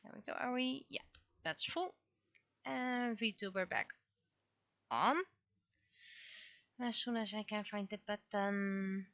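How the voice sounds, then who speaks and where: A woman's voice: medium pitch, takes it slowly, long pauses, a bit bright. A woman livestreamer chatting casually and muttering to herself in short fragments, on slightly dull stream audio. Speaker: livestreamer, VTuber artist. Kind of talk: casual livestream chat, muttering through setup.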